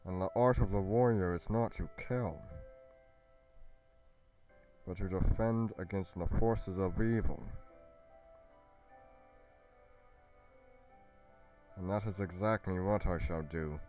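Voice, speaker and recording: A man playing a solemn, noble young warrior in a fantasy roleplay, speaking deliberately and portentously with halting pauses. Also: deep voice, mellow, soft background music. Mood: proud, resolute. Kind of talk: dramatic roleplay monologue.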